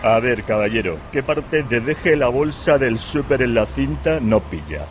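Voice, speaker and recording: A man's voice: mid-range voice, lively intonation, measured pace, natural voice. An actor playing a grumpy, scolding male official in a radio drama, bossy and colloquial in manner. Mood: irritated, scolding. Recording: clear street noise and cars behind.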